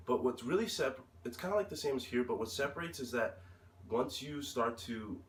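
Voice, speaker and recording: A young man with an American accent answering interview questions informally, haltingly, often searching for his words. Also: mid-range voice, expressive. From United States. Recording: roomy, distant mic, faint noise.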